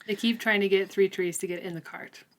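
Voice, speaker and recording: A woman's voice: medium pitch, steady tone, brisk. Casual woman co-hosting a book discussion. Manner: informal, conversational. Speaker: book club co-host.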